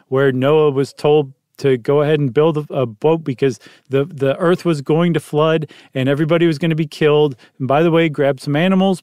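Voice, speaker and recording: Chatty higher-voiced male podcast host. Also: darkish tone, clean tone, no echo, studio quality. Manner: joking, rambling, playful asides. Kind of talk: casual chat.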